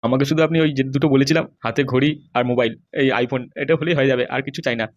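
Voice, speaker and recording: A woman's voice: extremely low pitch, very fast, a little mellow, muffled recording. A woman voicing a fawning, self-seeking political underling in a comedy cartoon. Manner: deferential, wheedling. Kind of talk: amateur comedy cartoon dub.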